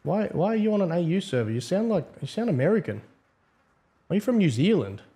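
A man's voice: high-pitched, big pitch swings, a little fast, warm tone, slightly rough. A man giving casual, slangy, wry gameplay commentary. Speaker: host, game server admin.

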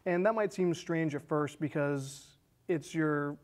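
American male guest, thirties, slightly high voice, casual conversational delivery. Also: slow pace, darkish tone. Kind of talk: casual chat. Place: small indoor studio set with curtains. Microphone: lapel.

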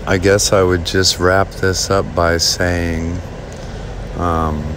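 A man talking casually to his viewers about a stock chart, halting with many ums and restarts. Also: low-pitched, level tone, background noise. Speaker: stock market video host. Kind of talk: casual talk over a chart screen share.

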